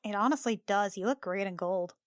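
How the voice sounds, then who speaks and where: A woman's voice: medium pitch, a little fast, no room sound, clean studio sound. A woman playing a tabletop roleplaying game, improvising casual in-character chat.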